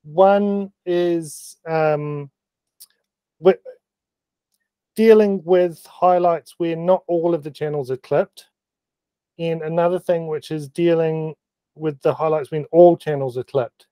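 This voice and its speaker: Slow, mellow New Zealand man. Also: fairly high pitch, steady tone, clean tone, no room sound. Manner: halting, many ums, self-deprecating laughs. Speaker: meeting participant presenting photo-editing experiments. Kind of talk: casual technical explanation on a video call. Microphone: video call.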